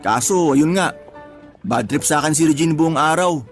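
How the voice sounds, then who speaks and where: A man's voice: fairly high pitch, normal speed, soft background music, close to an everyday voice. An actor playing an exasperated man who complains about his pregnant partner in colloquial radio drama dialogue. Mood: exasperated recounting.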